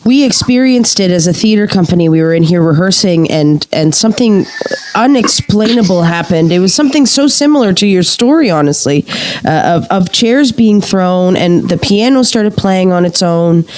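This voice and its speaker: An actress playing an eager, credulous theatre member investigating a ghost in an audio play, with an earnest, halting, intrigued way of talking. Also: female voice, on the low side, animated, a little mellow, natural voice. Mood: earnest, uneasy. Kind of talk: audio play acting, interview-like conversation.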